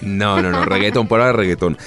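A man's voice: deep voice, fast talker, over music. A man in his thirties with a Spanish accent, an actor answering interview questions in an easygoing, chatty way. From Spain. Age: 30s. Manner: easygoing, chatty, with frequent restarts. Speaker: actor guest.

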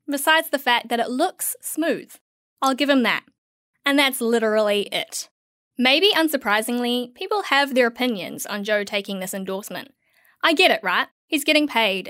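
A woman's voice: on the high side, fairly bright tone, dry recording, studio quality. A woman of about thirty reading her own article in a wry, tongue-in-cheek way. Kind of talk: scripted first-person narration.

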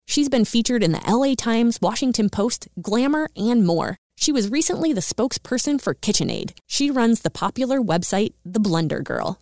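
A woman hosting a podcast, reading a guest introduction and then chatting warmly and enthusiastically. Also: fairly high pitch, big pitch swings, normal speed, hardly any pauses. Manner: warm, enthusiastic, encouraging interviewer. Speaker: podcast host. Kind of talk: scripted intro then casual interview.

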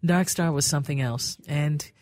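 A woman's voice: deep voice, normal speed, no echo. A woman radio talk show host tells a heartfelt story about how she got her start on air, acting out other people's voices in her anecdotes. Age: older. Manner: warm reminiscing, acts out voices in her anecdotes. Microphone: studio microphone.

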